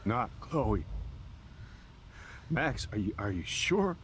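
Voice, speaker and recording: An actor playing a gruff middle-aged ex-soldier stepfather, broken with grief, in a video game. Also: mid-range voice, sing-song, very noisy. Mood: anguished. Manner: anguished, slow and broken.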